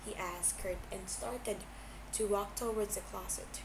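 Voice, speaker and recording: A woman's voice: mid-range voice, steady tone. A woman narrating fan fiction as an amateur reader, stumbling now and then over the words, on a noisy home recording. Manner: halting reading with stumbles.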